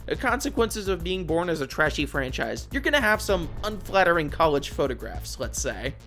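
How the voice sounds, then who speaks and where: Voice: medium pitch, sing-song, moderate pace, a bit bright. A video essayist delivering scripted commentary with informal, wry asides. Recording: music behind the voice. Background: background music.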